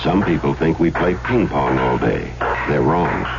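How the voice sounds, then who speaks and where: A man's voice: extremely low pitch, very animated, unhurried, creaky, music in the background. A man reading an earnest, emphatic 1970s public service appeal on a noisy, muffled radio recording.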